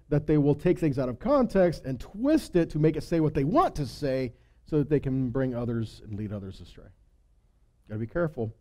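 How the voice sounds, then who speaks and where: A man delivers a scripture-teaching sermon in a fairly high yet warm, relaxed voice. He stresses key phrases, poses questions to his listeners and answers them himself, then drops into quieter asides. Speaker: congregation teacher or preacher.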